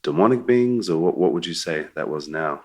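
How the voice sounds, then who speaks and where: A man hosting an interview, welcoming his guest and gently prompting him with questions. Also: low voice, lively intonation, fairly quick. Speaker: interview host.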